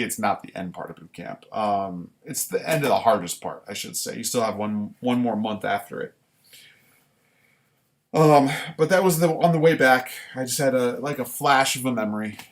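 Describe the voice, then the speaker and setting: A man reading his own writing live and commenting on it casually, in deliberate, halting short phrases. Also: medium pitch. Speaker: writer reading own work; former military recruit.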